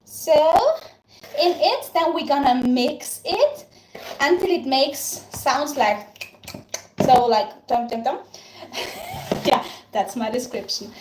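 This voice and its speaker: A woman's voice: high-pitched, takes it slowly, long pauses. A young woman from Tyrol with an Austrian German accent, hosting a casual live stream while baking and chatting with viewers. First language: German (Tyrolean dialect). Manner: chatty, answers viewer comments, thanks them often. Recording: echoey room with background noise.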